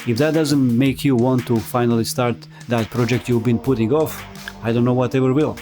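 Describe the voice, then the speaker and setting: A man's voice: mid-range voice, fairly even intonation, normal speed, warm tone. Male video essay narrator over music. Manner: wry, conversational asides. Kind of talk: scripted explainer narration.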